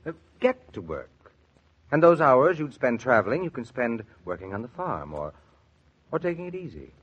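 A man's voice: medium pitch, very animated, normal speed, husky, dull audio. A man playing a kindly, persuasive family friend and colleague in a 1940s radio drama. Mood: persuasive, reassuring.

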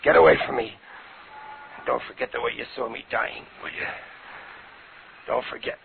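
An actor playing a tough, bossy gangster leader with gravelly voice and curt, slangy talk in a 1950s radio thriller. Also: male voice, medium pitch, normal speed. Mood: bitter, weary. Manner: curt streetwise commands, slangy. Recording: scratchy tinny 1950s radio recording.

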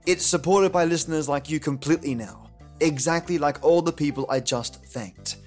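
A man's voice: a little high, moderate pace. A man hosting a podcast with scripted, theatrical, dramatic narration. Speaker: podcast host. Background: music.

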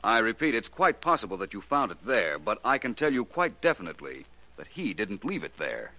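An actor in a 1940s radio drama playing a suave, unflappable detective, polite, urbane and dryly knowing. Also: male voice, lowish voice, big pitch swings, brisk, heavy background noise. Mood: calmly skeptical.

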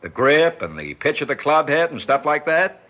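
An actor playing a slick, pushy sports manager in a 1960s radio drama, brisk and persuasive in a folksy salesman's way. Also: fairly high pitch, big pitch swings. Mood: smooth persuasion. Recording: muffled, faintly noisy 1960s radio recording.

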